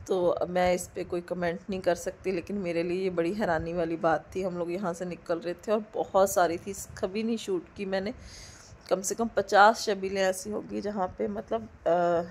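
A woman in her thirties with a hoarse throat from a cold, chatting loosely in a casual vlog voice-over from home and mixing in English words. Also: lowish voice. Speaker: vlogger. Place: indoors at home, recording the voice-over. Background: a dog barking now and then.

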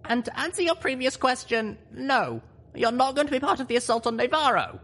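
Man putting on a high-pitched determined little mare. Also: lively intonation, a little fast, a bit bright, full character voice. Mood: firm, resolute. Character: small determined female pony leader, first-person heroine.

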